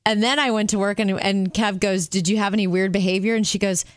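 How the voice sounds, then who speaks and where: A woman co-hosting a radio show, telling a story in an animated way and acting out the quotes. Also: medium pitch, quick pace, no room sound, studio quality. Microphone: studio microphone.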